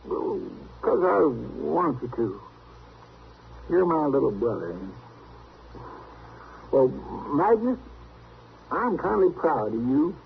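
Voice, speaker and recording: An actor playing a fretful, affectionate older brother in a radio western, with a stammering, folksy rural American drawl. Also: male voice, fairly high pitch, very animated, slow pace, vocal fry, pauses often, heavily acted. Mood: pleading, fond. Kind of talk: old-time radio western acting. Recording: tinny, hissy old radio recording.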